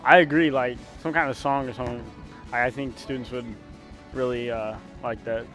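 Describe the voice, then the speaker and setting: A man's voice: mid-range voice, fairly even intonation, moderate pace. Casual teenage male student over music. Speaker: student interviewee. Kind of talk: casual vox pop answer.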